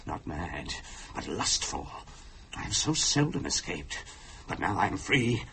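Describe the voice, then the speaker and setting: A sinister possessing spirit, gloats in a slow, theatrical radio-drama manner. The voice is low and sharp-edged, swinging widely in pitch with deliberate menace. There is faint noise behind it. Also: male voice, sing-song, exaggerated voice. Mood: gloating menace. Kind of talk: radio horror drama acting.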